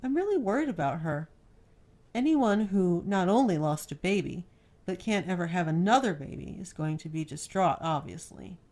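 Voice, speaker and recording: A woman reads a young wife from a pulp novel in a slightly low voice. She is earnest and worried, with a playful streak, and leans on her words to make a point. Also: animated, moderate pace, darkish tone, natural voice. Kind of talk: audiobook character dialogue.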